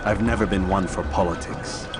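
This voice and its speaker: Low male voice, actor as terse young assassin, cool and proud, video game drama, music behind. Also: normal speed, close to an everyday voice. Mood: curt.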